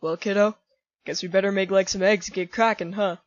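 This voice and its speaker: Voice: medium pitch, fairly even intonation, a little fast, close to an everyday voice. Easygoing, joking skeleton played by an actor, lo-fi. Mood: breezy. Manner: casual, easygoing, punning. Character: laid-back, joking male skeleton monster, fond older brother. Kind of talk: comic dub acting. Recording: dull lo-fi audio with faint music.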